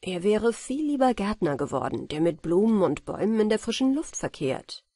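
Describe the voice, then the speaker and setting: Woman, audiobook fairy tale narration, soft husky voice, measured storytelling, dry close recording. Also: mid-range voice, very animated, no room sound. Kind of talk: scripted fairy tale narration for bedtime listening.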